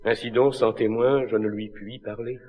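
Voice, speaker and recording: A man's voice: fairly low pitch, fairly even intonation, normal speed, darkish tone, natural voice. An actor playing a noble, lovelorn king of classical tragedy, hopelessly devoted, declaiming solemn verse with anguish. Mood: anguished, subdued. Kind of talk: classical tragedy stage acting. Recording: muffled archive recording, long hall reverb.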